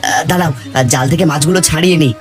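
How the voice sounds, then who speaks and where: A man's voice: on the high side, very fast, faint music behind, natural voice. A man voicing a generous, caring village fisherman and father in a cartoon fairy tale, speaking earnestly. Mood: pleased.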